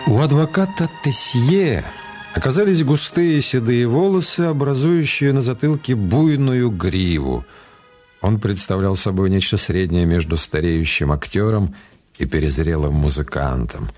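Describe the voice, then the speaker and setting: A man narrating a radio play in a scripted, literary, expressive storytelling style. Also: on the low side, measured pace. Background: music.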